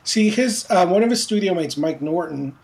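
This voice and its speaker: A man raised in Montreal talks casually as a guest, rambling with many fillers. His telling stays loose and unhurried. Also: sits high, animated, creaky. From Philippines, raised in Montreal. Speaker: comic book writer. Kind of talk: casual interview.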